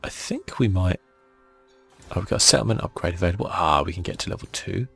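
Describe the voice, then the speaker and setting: Deep, gravelly, husky man, hushed. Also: expressive, fast, faint music behind. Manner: casual, musing, thinking aloud. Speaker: gaming channel host. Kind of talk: ASMR video game commentary.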